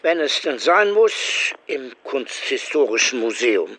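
An actor playing a sly, cultured lawyer in a radio crime drama, measured and condescending, with a husky voice. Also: male voice, mid-range voice, very animated, fairly bright tone, slight background noise. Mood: sly, amused.

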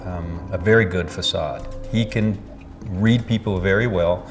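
An American man speaking as an expert interviewee, giving measured commentary in English. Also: sits low, soft background music.

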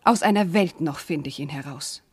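An actress playing an anguished, devoted young princess in a classical German verse radio play, speaking in an impassioned, declamatory way. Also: female voice, low-pitched, normal speed, slightly rough, no echo. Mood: fervent.

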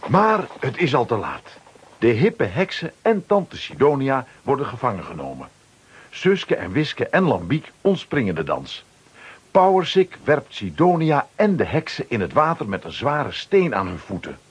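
A man's voice: mid-range voice, very animated, unhurried, husky. A man narrating a children's radio play from a script, dramatically.

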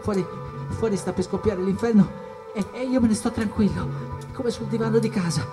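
An actor playing a young, withdrawn, watchful jazz musician in a theatrical monologue, in short, halting, repeated phrases. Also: male voice, high voice, fairly even intonation, lightly acted. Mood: frozen, tense. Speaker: stage actor. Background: jazz music and percussion.

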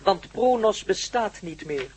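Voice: medium pitch, lively intonation, slight background noise, close to an everyday voice. An actor playing a grave alien being in a radio play, speaking in a formal, precise way. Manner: formal, measured, matter-of-fact explaining. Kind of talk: Dutch radio play acting.

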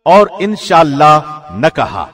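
Man, somewhat high voice, formal radio announcer delivery, measured scripted reading of translated scripture. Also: sing-song, a bit bright. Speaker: translation reader, radio presenter.

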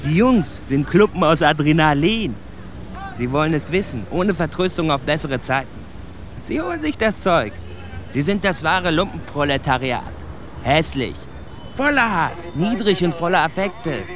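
An actor playing a stern, bitter narrator of street gang life in a radio drama, deliberate and emphatic. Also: male voice, a little high, big pitch swings, a bit bright. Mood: grim, bitter. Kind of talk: dramatic literary monologue in a radio play. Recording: noisy outdoor city street with traffic. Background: cars and urban street ambience.

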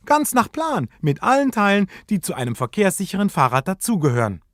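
Man narrating with very animated, swooping intonation. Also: high-pitched, brisk, dry recording, studio quality. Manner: storytelling, suspenseful, dramatic emphasis. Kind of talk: scripted children's radio play narration.

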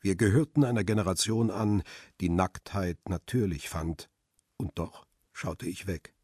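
An actor playing a middle-aged, world-weary male photographer who narrates a radio crime drama in a measured, reflective way. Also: sits low, darkish tone, breathy edge, no echo, studio quality. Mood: observant, calm. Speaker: first-person narrator and lead character.

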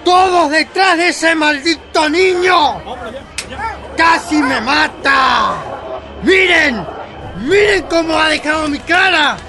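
A cruel plantation boss in a radio play, high-pitched and shouting in fury. He bellows orders and curses slowly, with music and a crowd behind him. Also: exaggerated voice. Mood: enraged, vengeful. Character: cruel, enraged plantation boss, wounded and commanding. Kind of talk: radio drama acting.

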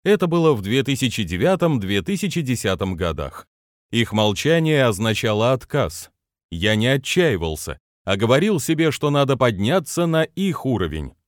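Man with non-native pronunciation, lively delivery. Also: medium pitch, moderate pace, no echo, studio quality. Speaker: business trainer and author. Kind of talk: reading his own self-help book aloud.

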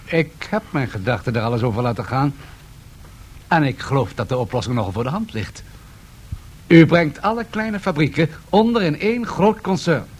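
An actor playing a confident, ambitious industrialist in a radio drama, speaking in a measured, persuasive and formal way. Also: male voice, breathy edge. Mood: courteous, assured. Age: 30s. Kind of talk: radio play acting. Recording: faint noise and rumble, 1970s radio.

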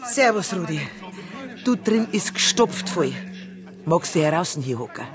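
An actor playing a casual, chatty pub waiter with a Bavarian accent, speaking colloquially in a radio drama. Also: male voice, on the high side, sing-song, unhurried, loud music behind the voice. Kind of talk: naturalistic radio drama dialogue. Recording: loud busy crowd behind.

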